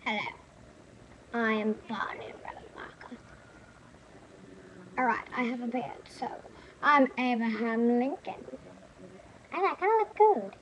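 A woman's voice: fairly high pitch, measured pace, long pauses. A young woman joking around in front of her webcam in a small room, rambling in a silly way about how she looks. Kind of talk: playful webcam monologue with face filters. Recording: noisy webcam recording.

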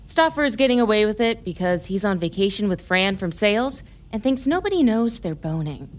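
A woman's voice: medium pitch, sing-song, fairly quick, close to an everyday voice. Woman acting a wry, harried news reporter. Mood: teasing, affectionate. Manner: sardonic, casual, sweary. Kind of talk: naturalistic audio drama acting.

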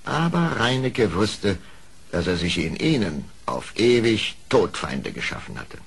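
A man narrating an animal fable radio play in a gravelly, very raspy voice with dramatic phrasing. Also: on the low side, sing-song, unhurried, full character voice.

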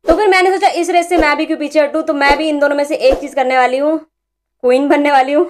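Young woman vlogger reacting to clips, high voice, teasing and exclaiming, faint hiss behind. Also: steady tone, fast. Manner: chatty, mocking, exclaiming. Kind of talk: casual reaction commentary.

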